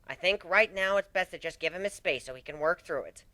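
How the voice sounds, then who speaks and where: A man voicing a calm female nurse pony in a dramatic story reading, measured and explanatory. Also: high voice, dry recording, pitched above the performer's own voice. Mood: concerned, clinical.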